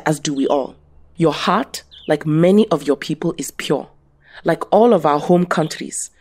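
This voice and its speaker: A woman voicing a dignified mutant leader who speaks formally and gently, in an audio drama. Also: low-pitched.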